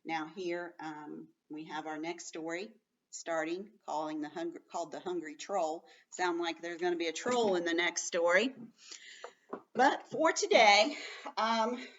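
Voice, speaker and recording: A woman's voice: on the low side, measured pace, a bit bright. A woman schoolteacher giving a read-aloud lesson to children, explaining things in simple words and commenting as she goes. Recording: dull sound, distant from the mic.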